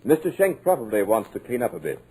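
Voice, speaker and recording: An actor playing a smooth, commanding radio producer with a sinister edge, in a 1940s radio drama. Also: male voice, medium pitch, big pitch swings, fast talker, a little mellow, poor audio. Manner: suave, commanding.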